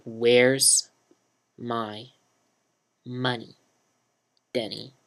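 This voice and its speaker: A woman's voice: a bass voice, flat delivery, extremely slow, exaggerated voice. A woman voicing a menacing male drug dealer who threatens, swears and breaks into screams.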